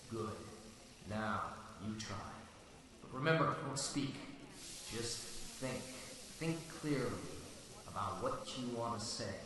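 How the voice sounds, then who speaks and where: A ghostly male avenger from a radio play, speaks with calm, deliberate authority. His mid-pitched voice booms through a long, cavernous reverb and sounds distant and otherworldly. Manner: measured, deliberate, telepathic address. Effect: heavy reverb for telepathic voice. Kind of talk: old-time radio drama acting.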